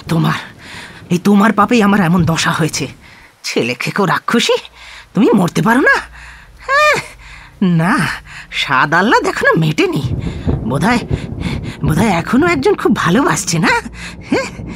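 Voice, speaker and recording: Bitter, husky husband, wildly swinging voice. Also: male voice, high voice, huge pitch swings, normal speed, pauses often, fairly bright tone, heavily acted. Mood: enraged. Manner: accusing, taunting, snapping questions. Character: bitter, suspicious, ailing husband, prone to abusive rage. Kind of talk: Bengali audio drama acting.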